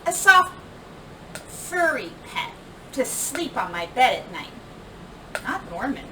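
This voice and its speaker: Animated, higher-voiced woman reading a storybook. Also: slow. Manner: animated, emphatic delivery with acted-out dialogue and sound words. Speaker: teacher reading a children's picture book aloud. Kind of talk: expressive storybook read-aloud. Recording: roomy, distant microphone, clear background noise.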